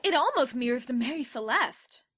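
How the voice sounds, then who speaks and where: A woman playing a bossy, confident, energetic teenage schoolgirl in an audio drama, brisk and self-assured. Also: high-pitched. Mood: puzzled, grumbling. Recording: loud music under the voice.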